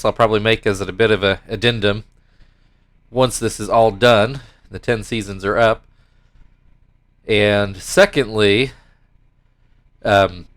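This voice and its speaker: A man's voice: on the low side, fairly bright tone. A young man with a stuffy nose, casually commentating his own baseball video game with rambling asides and play-by-play calls. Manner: rambling and self-correcting, mock play-by-play calls.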